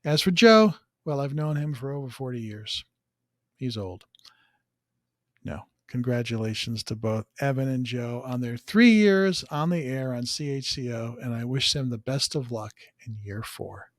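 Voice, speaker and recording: A middle-aged or older man with a mid-pitched voice is recorded dry, without echo. He speaks as a show announcer sending joking congratulations. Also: lively intonation, a little slow. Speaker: show announcer giving a greeting. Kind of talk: joking congratulatory video message.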